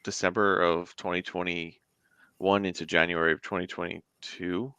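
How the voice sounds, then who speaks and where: A man's voice: low voice, level tone, a little slow, pushed and bright, clean tone, dry recording. A man speaking as a guest in a casual interview, with a rambling, conversational delivery. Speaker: guest, indie tabletop game creator.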